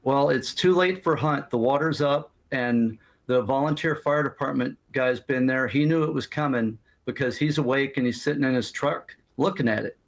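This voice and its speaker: A man's voice: mid-range voice, moderate pace, vocal fry, few pauses. A man giving plain-spoken answers in an interview as a local witness. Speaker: interviewee, local emergency radio expert.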